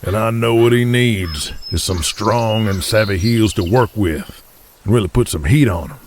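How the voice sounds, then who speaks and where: An actor playing a gruff, shrewd wrestling promoter from Texas in an audio drama, with a folksy, deliberate way of speechifying. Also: male voice, on the low side, a little hiss or hum, natural voice. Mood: keen, scheming.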